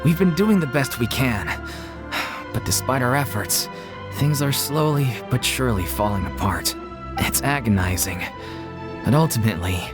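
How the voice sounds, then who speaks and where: A man's voice: medium pitch, lightly acted. A man playing an earnest, polite member of a theatre troupe in a video game. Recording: clear music behind the voice.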